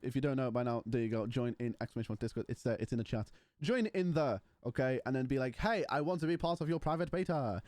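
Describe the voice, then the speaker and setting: A man's voice: mid-range voice, quick pace, a little mellow, no room sound, studio quality. A man, casually chatting and bantering with his livestream chat. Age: 20s. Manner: banters with chat, mocking and playful. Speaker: streamer.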